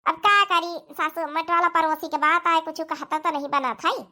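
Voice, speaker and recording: A woman's voice: very high voice, steady tone, moderate pace, sharp tone, exaggerated voice. A woman voicing a chatty, obliging village daughter-in-law in a cartoon, speaking with an Awadhi accent in a homely way. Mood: resigned. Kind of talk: cartoon comedy dialogue in rural dialect.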